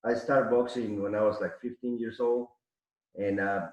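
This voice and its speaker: A man's voice: fairly low pitch, steady tone, moderate pace. A middle-aged man from Mexico City, a podcast guest telling rambling stories full of restarts and fillers. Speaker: podcast guest, arm wrestler. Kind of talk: casual interview storytelling. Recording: echoey, muffled audio.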